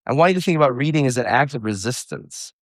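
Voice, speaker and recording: A man's voice: mid-range voice, expressive, fast, no room sound, studio quality. Earnest man giving a workshop talk. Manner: earnest, reflective lecturing with quotes and asides. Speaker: homeschool workshop speaker. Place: live workshop room.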